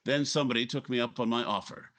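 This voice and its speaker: A man's voice: mid-range voice, fast talker, a bit bright, natural voice. A man playing a brash, driven newspaper editor in his forties, curt and self-assured, in a 1950s radio drama. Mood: driven, reflective. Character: brash, driven forty-year-old male newspaper editor. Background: music.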